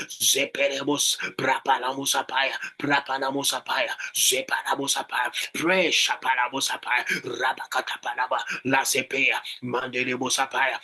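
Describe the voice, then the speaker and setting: Bright-voiced male preacher leading prayer. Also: medium pitch. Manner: emphatic, repeats words and phrases. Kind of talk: exhortation and glossolalia prayer.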